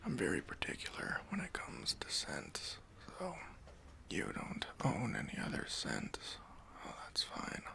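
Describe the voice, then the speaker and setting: A man playing a stingy, demanding boss in an ASMR roleplay, speaking in a breathy, hesitant whisper with condescending politeness, close to the microphone. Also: sits low, wildly swooping pitch, unhurried, bright, forceful tone, heavily acted. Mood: condescending, fussy. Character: stingy, demanding male boss giving a job interview.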